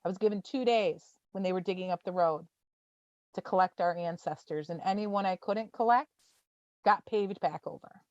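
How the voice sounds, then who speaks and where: A forty-year-old woman presenting at an online town hall, speaking informally and gently with many ums. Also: lowish voice, normal speed. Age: 40s. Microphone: video call. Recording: dull, dry video-call audio.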